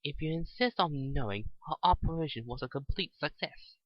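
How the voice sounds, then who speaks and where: A man voicing a gruff, scornful and smug villainous mad doctor in a dramatic story reading, with curt, mocking delivery. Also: fairly high pitch, moderate pace, no echo, highs cut off, natural voice.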